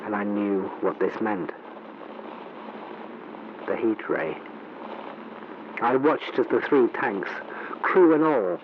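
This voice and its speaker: A man's voice: medium pitch, lightly acted. An actor playing a grave, shaken male war reporter giving a solemn, formal eyewitness account in a 1930s radio broadcast style. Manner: solemn, deliberate, formal narration. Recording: noisy, muffled vintage radio recording.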